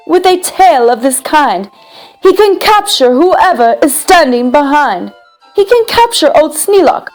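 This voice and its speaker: Woman playing an eager, high-voiced boastful young boy. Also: darkish tone, heavily acted. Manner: grandiose showman's patter, singsong and emphatic.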